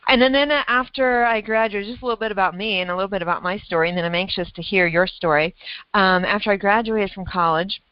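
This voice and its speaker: A middle-aged woman, a weight loss coach, talking casually and telling a lively story with frequent laughs. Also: medium pitch, fast talker, clear, resonant tone, dry recording, muffled recording. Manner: animated storyteller, laughs often, acts out her own thoughts.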